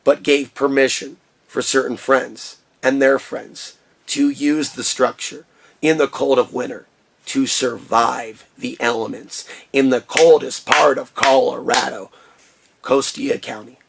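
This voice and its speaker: A man with a booming voice talking through a personal vlog monologue in an impassioned, ranting way from his shed studio. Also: fairly even intonation, unhurried, gravelly, a bit bright, breathy edge. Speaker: vlogger.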